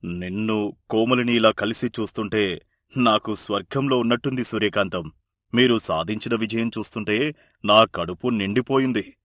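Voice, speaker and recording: A man's voice: lowish voice, normal speed, fairly bright tone, dry recording, natural voice. Man acting affectionate family man, dry close sound. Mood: proud, contented. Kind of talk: dramatised moral story dialogue.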